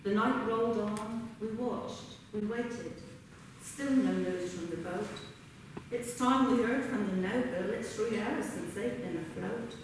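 Older woman reciting in a very echoey hall. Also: medium pitch. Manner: earnest, storytelling, measured rhyming cadence. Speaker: reader at a remembrance service. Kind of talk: poetry recitation. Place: large indoor hall with a congregation. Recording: very echoey hall, distant mic, background noise.

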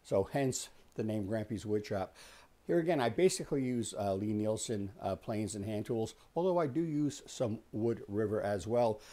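A man in his sixties giving a casual, unhurried explanatory tour as the host, talking conversationally in a small indoor workshop. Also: fairly low pitch, animated, warm tone. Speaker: host of a woodworking shop tour.

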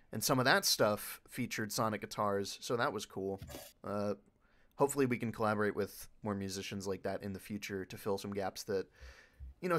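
A man's voice: fairly low pitch, fairly bright tone. A man speaking casually as a panelist in a Q&A, rambling with enthusiasm. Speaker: panelist, video editor for a fan dub group.

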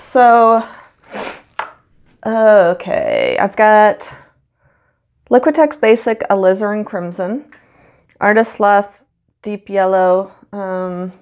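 Brief: stuffy-voiced woman with a cold, unhurried rambling craft-video chat, slightly dull recording. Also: medium pitch, darkish tone. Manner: chatty and rambling, many ums. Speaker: acrylic pour artist. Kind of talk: casual how-to narration while painting. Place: home studio, indoors.

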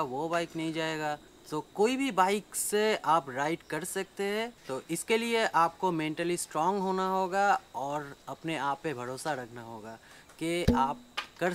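A man's voice: a little high, slight background noise. A young man whose first language is Bengali, explaining step by step to camera as a motorcycle vlogger, with frequent hesitant fillers. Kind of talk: casual talk to camera. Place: outdoors in a yard, then indoors in a small room.

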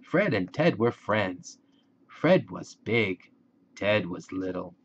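Male narrator for kids' picture book, unhurried and very lively pitch, slightly dull lo-fi recording. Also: a little hiss or hum. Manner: slow, deliberate, expressive phrasing for young listeners. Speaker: children's book reader. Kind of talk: storybook read-aloud narration for children.

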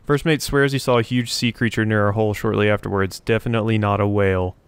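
A man's voice: on the low side, steady tone, fast, clear, resonant tone, dry recording. A man doing casual let's play commentary, thinking aloud and reading out notes as he goes. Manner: thinks aloud, talking to himself and the game. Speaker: gaming video host.

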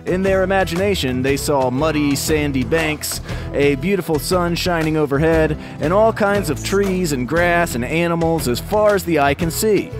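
A man's voice: on the high side, normal speed. An American man telling a scripted children's story in a conversational, explanatory way. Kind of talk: scripted storytelling narration for kids. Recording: music bed behind narration. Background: music.

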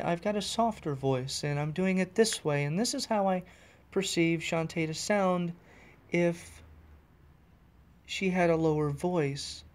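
A man's voice: on the high side, darkish tone, clear, resonant tone. An American man hosting a podcast alone, chatting casually in a rambling way and often correcting himself mid-sentence, recorded while driving. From United States. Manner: rambling, restarts and self-corrections. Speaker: podcast host. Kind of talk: casual solo chat. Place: in a car, driving.

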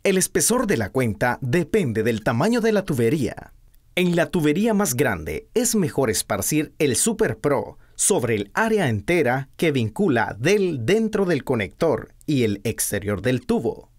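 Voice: medium pitch, big pitch swings, unhurried, a little mellow. A narrator reading scripted product instructions in a stiff, read-out manner.

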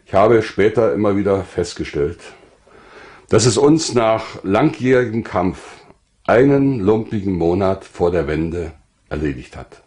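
An older man narrating his own life story from a script in a measured, formal way. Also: lowish voice, expressive, a little mellow.

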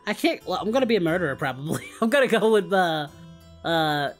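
A woman's voice: fairly low pitch, very animated, a little fast, faint music behind. A woman playing a goofy, easygoing and boastful male anime warrior hero in improvised, folksy, rambling parody game chat. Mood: flustered. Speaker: host of a gaming channel.

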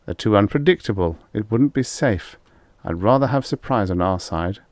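A man's voice: lowish voice, animated, normal speed, a little mellow, dry recording, close to an everyday voice. A man voicing a curt, practical hunting aide in a science fiction story, matter-of-fact in manner.

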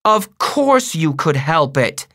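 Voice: medium pitch, sing-song, measured pace, a bit bright, no echo, clean studio sound. A narrator voicing a firm, caring mother who admonishes her sons in an audiobook. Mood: stern, disappointed. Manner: earnest, admonishing. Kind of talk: audiobook character voice.

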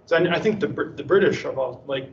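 A man's voice: a little high, fast talker, gravelly. A man in the audience poses long, winding questions to a speaker. He sounds far from the mic in an echoey room, with a dull tone and a faint hiss behind. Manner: thoughtful, rambling questions. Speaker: audience member asking questions. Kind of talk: academic question and answer.

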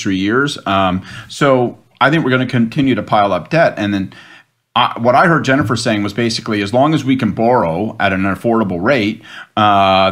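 A man's voice: lowish voice, fairly bright tone. A man on a political panel over a video call, talking at length in a thoughtful way with frequent restarts.

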